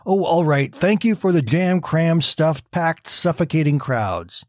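A man's voice: fairly high pitch, expressive, unhurried, no echo, clean studio sound, voice pushed up. A man doing an exasperated woman prisoner. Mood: grudging. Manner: exasperated, pleading. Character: weary, doubting woman prisoner.

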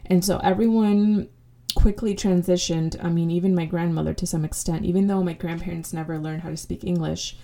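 Young woman podcast host, spanish was her first language, mellow lowish voice, lots of ums. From United States. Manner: reflective, many fillers and restarts. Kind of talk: casual personal storytelling.

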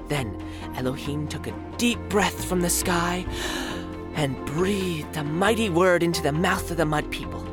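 Casting: woman voicing a gentle guide, softly lilting storyteller, lively pitch swings, music bed. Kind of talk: children's audio drama.

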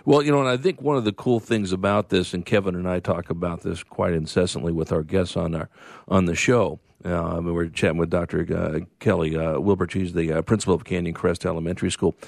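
Low gravelly male radio co-host. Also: steady tone, fairly quick, darkish tone, dry recording, clean studio sound. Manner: brief affirmations, rambling.

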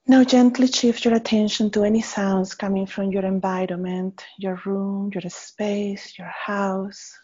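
Woman, meditation guide, very slow, gentle, mellow tone, short phrases with pauses, slightly muffled recording. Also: mid-range voice, flat delivery, slightly rough. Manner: gentle, unhurried, pausing between short phrases. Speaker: meditation guide, faculty member. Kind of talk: guided body scan meditation. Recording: slightly dull, muffled audio.

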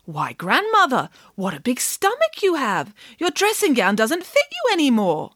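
Woman doing a squeaky bossy piglet girl. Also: huge pitch swings, normal speed, fairly bright tone, dry recording, studio quality, exaggerated voice. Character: bold no-nonsense young girl piglet.

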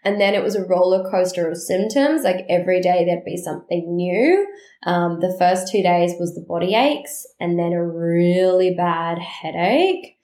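A woman's voice: lowish voice, roomy sound. A woman hosting a casual solo podcast, talking in a chatty, rambling way while her voice is nasally and congested. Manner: chatty, rambling and animated, with quick asides. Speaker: podcast host.

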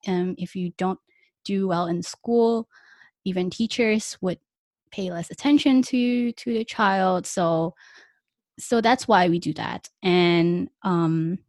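A woman's voice: fairly low pitch, slow pace, a little mellow, no room sound. A young woman from Malaysia, speaking Malay as a first language, chatting easily in an interview with lots of fillers. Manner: chatty, many fillers and small laughs. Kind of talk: casual conversational interview.

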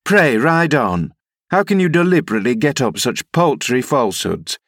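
A man's voice: mid-range voice, big pitch swings, normal speed, a bit bright, dry recording, clean studio sound. A man reading as a loyal, sensible housekeeper woman in a classic novel, protective and admonishing. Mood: indignant. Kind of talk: audiobook character reading.

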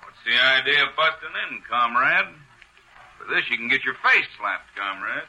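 An actor playing a sly, cowardly small-time blackmailer in a 1940s radio noir, with slangy smart-aleck talk. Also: male voice, medium pitch, expressive, moderate pace, noisy background, close to an everyday voice. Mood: insolent, mocking. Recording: old, rough-sounding radio drama recording.

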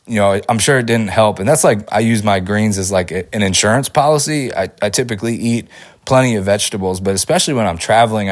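A man hosting a podcast, talking casually and giving upbeat motivational advice, his voice a little off from a lingering cold. He rambles with fillers and restarts. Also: fairly low pitch. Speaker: podcast host. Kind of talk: casual solo podcast talk.

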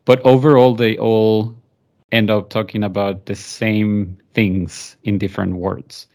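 Lower-voiced man with a Venezuelan accent. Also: level tone, measured pace, a little mellow. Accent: Venezuelan Spanish. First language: Spanish. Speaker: podcast guest. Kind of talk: conversational interview.

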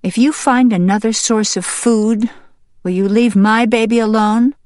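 A woman's voice: a little high, steady tone, unhurried, darkish tone, no echo, clean studio sound, voice pushed up, natural voice. A woman voicing a weary old mother hen in an audiobook, firm and emphatic, fiercely protective and resolute. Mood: bargaining. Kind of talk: audiobook character voice.

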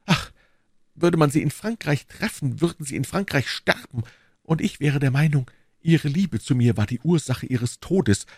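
A man's voice: fairly high pitch, lively intonation, moderate pace, husky, no echo, studio quality, voice pushed up. A man voicing an anguished, torn queen, proud yet secretly in love, with an imploring, agitated, regal manner.

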